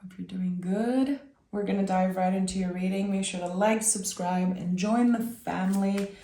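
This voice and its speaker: A woman with a raspy voice giving a soft, reflective tarot reading, speaking directly to the listener as host. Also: mid-range voice, steady tone, moderate pace, reverberant. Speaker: tarot reader and channel host.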